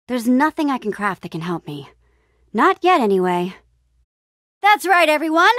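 A woman playing a plucky, bossy but encouraging young adventurer heroine in a video game, with an expressive, casual, peppy delivery. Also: fairly high pitch, dry recording, studio quality. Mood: curious, musing, then proud, rousing. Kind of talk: animated adventure game dialogue.

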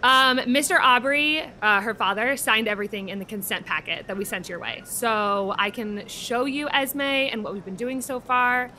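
Woman, bright high-ish voice, casual, hesitant phrasing, improvised conversation. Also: moderate pace, few pauses, slight background noise. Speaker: behavior analyst in role-play. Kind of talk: improvised conversational role-play.

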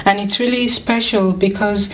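Teen schoolgirl presenter, relaxed and chatty, recorded in a library with light background hiss. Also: medium pitch, moderate pace, rough recording. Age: teens. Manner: friendly, chatty, small slips and restarts. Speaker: student presenter. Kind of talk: casual school film presentation.

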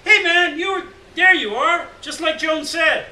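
A man acting out a loud, boisterous drifter buddy. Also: extremely high pitch, unhurried, voice pushed up, heavily acted. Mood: excited shouting. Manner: shouting, slangy, devil-may-care. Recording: roomy, distant mic, noisy venue.

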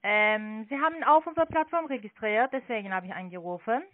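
A woman's voice: on the high side, fairly quick, no room sound. A woman, a non-native speaker, making a sales call as a call-centre agent. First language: not German. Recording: dry, tinny phone line.

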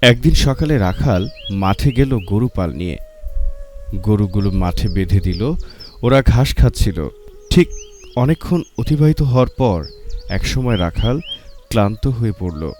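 A male storyteller reads a scripted tale for children in a low-pitched voice. Music is clearly heard behind the narration. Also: animated, a little slow, pauses often, darkish tone.

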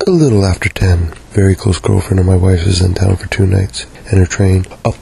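A gravelly, husky man in his thirties delivers a sour, deadpan diary rant, swearing freely. His voice sits a little low and rough, and it is recorded by a camera mic in a living room. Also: brisk. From Canada. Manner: deadpan, profane ranting. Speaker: video diarist. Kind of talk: scripted sardonic monologue.

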